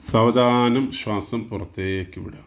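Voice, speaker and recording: A man with a Malayali accent leading a guided meditation, giving slow, soothing instructions in short phrases with pauses. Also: on the low side, fairly even intonation.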